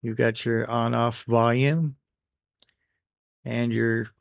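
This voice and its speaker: An American man recording an unscripted product review voice-over, speaking in a halting way with pauses between phrases. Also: mid-range voice, flat delivery, gravelly, no room sound, highs cut off. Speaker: radio gear reviewer.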